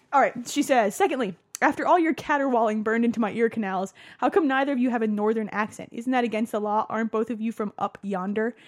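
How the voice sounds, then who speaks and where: A woman with a light New Jersey accent trades crude jokes in a relaxed podcast chat. Her voice sits a little high for a woman, and she laughs easily between lines. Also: fast talker. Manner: laughing, joking, crude banter. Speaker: podcast co-host. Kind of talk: casual chat.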